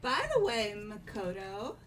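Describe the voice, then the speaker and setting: A woman voicing a sweet, sincere, gently teasing teenage girl in a video game. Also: mid-range voice, very animated, measured pace, faint music behind. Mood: hesitant. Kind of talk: fan voice acting of visual novel dialogue.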